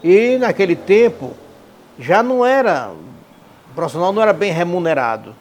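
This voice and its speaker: A man's voice: high-pitched, big pitch swings, moderate pace, a little hiss or hum. A man with a Northeastern Brazilian accent reminiscing in an interview, repeating phrases for emphasis. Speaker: radio professional and columnist, interviewee.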